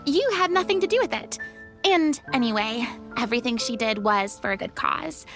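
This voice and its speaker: A woman voicing a chatty, good-natured game character with an expressive, casual manner. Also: a little high, moderate pace. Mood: breezy. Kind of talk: video game voice acting. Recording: music behind the voice.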